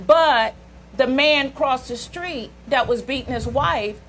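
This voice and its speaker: A woman's voice: sits high, unhurried, fairly bright tone, soft background music. A woman hosting a talk show, asking earnest questions and telling a story of her own as she leads up to them. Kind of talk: interview talk.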